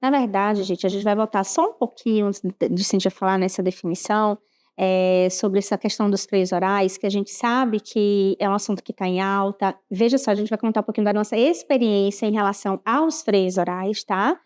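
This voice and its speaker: Informal Brazilian woman with mellow voice. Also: medium pitch, normal speed. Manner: informal, addresses listeners directly. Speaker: podcast co-host. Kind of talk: casual chat.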